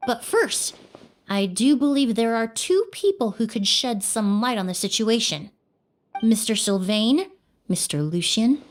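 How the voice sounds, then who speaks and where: An actress voicing a composed young perfumer who investigates with calm, polite, measured deduction in a game cutscene. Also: female voice, fairly high pitch. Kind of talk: video game cutscene acting.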